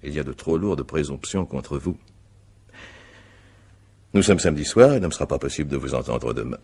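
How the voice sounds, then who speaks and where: An actor playing a stern, methodical examining magistrate in a radio mystery drama, questioning formally and deliberately with a gravelly voice. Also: male voice, very deep voice, lively intonation, a little mellow, heavily acted. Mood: grave, accusing.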